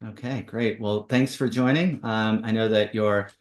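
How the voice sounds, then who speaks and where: A man's voice: on the low side, steady tone, measured pace. A man hosting a podcast, moving between a scripted introduction and interview questions, recorded with a roomy echo. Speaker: podcast host. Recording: roomy, echoing voice.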